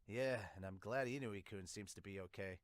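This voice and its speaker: A man's voice: fairly low pitch, normal speed, no echo. A man hosting a casual manga reaction, reading aloud and interjecting informally. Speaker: podcast host and manga reviewer.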